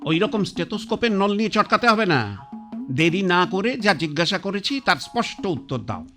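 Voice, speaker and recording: A man's voice: high-pitched, very animated, moderate pace, sharp tone, soft background music, full character voice. An actor playing a blunt, stubborn old man on his deathbed who mocks his doctor and priest in a sarcastic, commanding way. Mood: irritable. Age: older. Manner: sarcastic, commanding, brushes others off. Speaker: bedridden patriarch. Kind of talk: radio drama acting.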